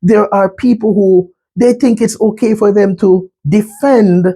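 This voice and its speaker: A man's voice: sits high, a little slow, mellow, breathy edge. A man with a Jamaican accent giving an earnest slide presentation on mental health, often checking in with "right?".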